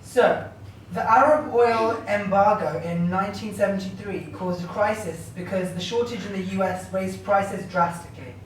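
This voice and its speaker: A woman's voice: medium pitch, normal speed. A woman acting as interviewer in a scripted mock television interview, putting formal questions to a guest. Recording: very echoey room, distant mic, faint noise.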